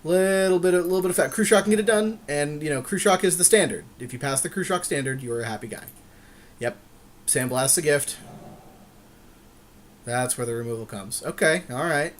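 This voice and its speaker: A man's voice: fairly high pitch, fairly quick. Informal man bantering, noise in the background. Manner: informal, jokey, repeats short phrases. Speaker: co-host of a gaming podcast. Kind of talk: casual game commentary, banter.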